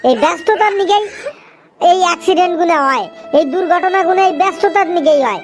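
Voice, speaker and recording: Chatty young cartoon pal, extremely high-pitched, eastern Bengali dialect, earnest, scolding, colloquial. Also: expressive, fast, over music. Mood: indignant, scolding. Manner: preachy, emphatic, colloquial. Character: talkative food-loving young cartoon friend, earnest and scolding. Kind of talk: cartoon dialogue with moral advice.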